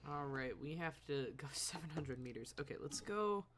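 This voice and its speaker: A woman's voice: low-pitched, lively intonation, normal speed, a little hiss or hum. A woman streamer giving casual, blunt live commentary on a video game. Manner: reacts bluntly, talks to the game characters. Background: faint game music.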